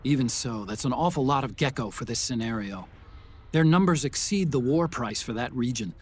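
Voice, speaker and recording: A man's voice: mid-range voice, animated, moderate pace, husky. An actor playing an earnest, brainy male engineer in a video game, explaining things in an articulate, friendly way. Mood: earnest, informative. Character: Otacon, earnest brainy male tech engineer, mission support. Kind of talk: video game cutscene acting, mission briefing.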